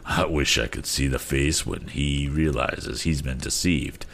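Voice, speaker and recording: Guy doing a really low sea captain, hearty but mannerly, measured, from an adventure book. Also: fairly even intonation, fairly bright tone, a little hiss or hum, full character voice. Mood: calm, reassuring. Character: hearty, polite seasoned male sea captain.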